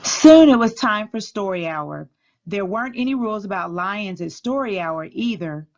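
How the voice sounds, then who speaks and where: Female teacher for a children's read-aloud: animated intonation, clear deliberate delivery, slightly dull home recording. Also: mid-range voice.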